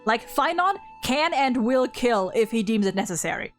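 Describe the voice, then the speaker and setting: High-voiced woman gushing casually as a fan. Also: animated, moderate pace, soft background music. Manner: effusive, gushing, slangy; rambling, repeats "like". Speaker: video host discussing a game. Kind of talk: casual excited fan discussion.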